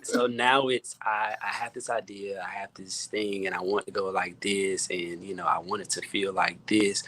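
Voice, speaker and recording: Low-voiced American man, halting casual talk. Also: steady tone, sharp tone, rough recording. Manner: halting, repeats words while emphasizing points. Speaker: music producer, guest.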